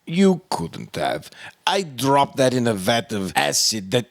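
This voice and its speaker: Radio-play villain, male actor: cornered chemist, desperate and stammering, slow delivery with big pitch swings. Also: big pitch swings, creaky, exaggerated voice. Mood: panicked. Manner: halting, protesting, stammering. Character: cornered murderous foreign chemist, desperately bluffing. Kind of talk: old-time radio detective drama acting.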